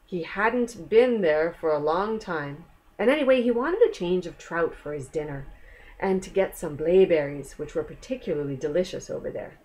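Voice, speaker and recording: A woman's voice: lowish voice, rough recording. A woman reading a children's story aloud, expressively, with chatty asides and questions to the young listener. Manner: expressive, pausing to explain and ask the listener questions.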